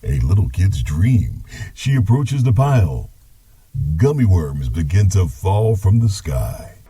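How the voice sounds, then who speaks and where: Man, story narrator, dramatic, husky, dark tone, unhurried, dry close sound. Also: deep voice, big pitch swings, dry recording.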